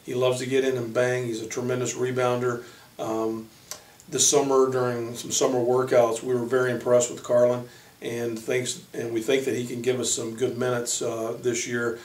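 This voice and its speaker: A man speaking as a college basketball coach, introducing players informally in a halting way with frequent pauses. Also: medium pitch, level tone, a little mellow. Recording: echoey room, distant microphone.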